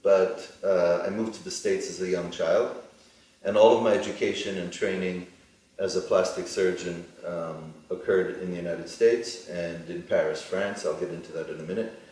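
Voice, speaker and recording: A very deep-voiced middle-aged man whose first language is Hebrew talks through his answers in a measured way, pausing on ums and uhs. He sounds set well back from the mic in a strongly echoing studio. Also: fairly even intonation, darkish tone. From Israel. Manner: deliberate, many ums and uhs. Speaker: plastic surgeon, interview guest. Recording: echoey studio, mic far off.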